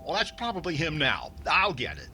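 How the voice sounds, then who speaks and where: A man's voice: a little high, wildly swooping pitch, fairly quick, over loud music. A man playing a fussy, stingy middle-aged comedian in 1940s radio comedy style, hesitant and exasperated. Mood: defensive. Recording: noisy radio play recording.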